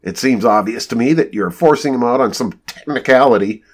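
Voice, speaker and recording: A man's voice: medium pitch, animated, normal speed, lightly acted. A man voicing an indignant, hot-tempered male company boss in a story, confrontational and prone to swearing. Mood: indignant, threatening.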